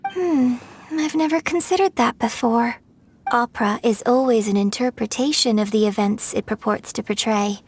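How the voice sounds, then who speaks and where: A woman voicing a graceful young opera singer in a video game, telling an old legend in a poised, measured way. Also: a little high, a little hiss or hum, natural voice.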